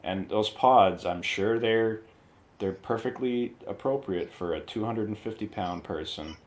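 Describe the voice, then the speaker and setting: Lowish-voiced man in casual movie chat. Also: unhurried, a little hiss or hum. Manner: joking, irreverent, colloquial. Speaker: guest on a movie review show.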